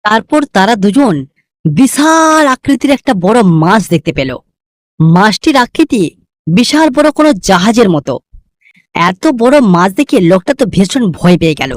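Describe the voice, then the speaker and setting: Casting: woman storyteller for cartoon folk tales, scripted narration with widely varied pitch. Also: no echo, studio quality.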